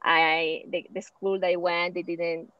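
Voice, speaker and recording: A middle-aged woman from Colombia, a native Spanish speaker with a Colombian accent, speaking halting English as a guest on a video call, with many restarts. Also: on the low side, flat delivery, no room sound. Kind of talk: casual talk on a video call. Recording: dull, lo-fi call audio.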